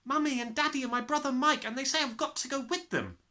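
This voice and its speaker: Man playing an earnest high-pitched little girl. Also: fast, sharp tone. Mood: alarmed. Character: precocious earnest little girl, bright and eager.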